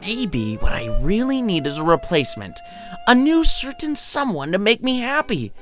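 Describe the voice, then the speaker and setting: A man's voice: sits high, very animated, normal speed, music in the background, pitched above the performer's own voice. A man voicing a heartbroken young American student in an audio story, whiny, sarcastic and melodramatic. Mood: drunken hope.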